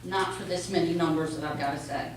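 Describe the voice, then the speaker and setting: A woman presenting the monthly financial report to a town board, explaining plainly in a loud voice. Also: low voice, steady tone, a little fast. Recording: echoey meeting hall, mic far off, clear noise.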